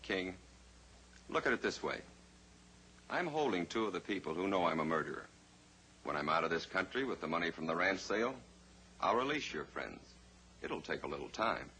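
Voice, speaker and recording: A scheming male villain from an old radio serial. His voice is deep and forceful, with polite, deliberate menace. Faint noise sits on the old recording. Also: very animated, heavily acted, poor audio. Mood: threatening, in control. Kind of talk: old-time radio drama acting.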